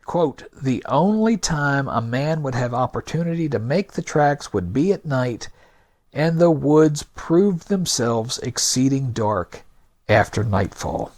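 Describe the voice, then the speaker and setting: A man hosting a podcast with scripted narration, delivered in a deliberate, emphatic, argumentative manner. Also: fairly high pitch, darkish tone. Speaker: podcast host.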